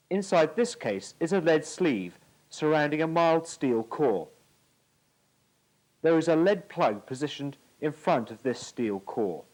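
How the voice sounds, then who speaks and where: A man's voice: on the high side, darkish tone, poor audio. A British man giving formal, precise scripted narration for an instructional military training film from the 1980s.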